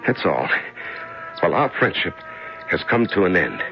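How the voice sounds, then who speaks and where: Slow, creaky, starving prisoner. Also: male voice, deep voice, very animated, exaggerated voice. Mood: hurt, resigned. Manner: halting, rambling, theatrical monologue. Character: weak, starving, lonely male prisoner talking to a mouse. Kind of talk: old-time radio drama acting. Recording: dull radio recording with music behind.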